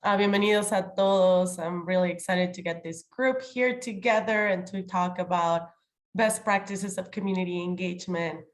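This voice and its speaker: A woman's voice: lowish voice, steady tone, normal speed. A woman chairing an online committee meeting in a formal, welcoming role, slightly nasal as she gets over a cold. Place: remote video call.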